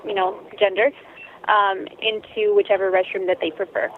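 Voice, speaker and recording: A woman working as a store employee, handling a complaint over a tinny phone line in a conciliatory, somewhat halting customer service manner. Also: medium pitch, flat delivery, a little hiss or hum.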